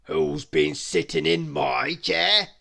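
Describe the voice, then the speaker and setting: A reader doing a big booming bear. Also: wildly swooping pitch, slow pace, exaggerated voice. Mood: gruff. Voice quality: gruff, loud. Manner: demanding, booming. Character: big gruff papa bear.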